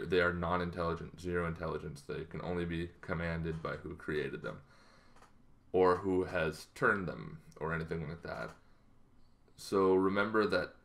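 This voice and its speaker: A man in his thirties giving a casual, rambling explainer to camera, halting as he goes, in a small bedroom. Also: low-pitched, creaky, fairly bright tone. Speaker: host of a tabletop roleplaying channel.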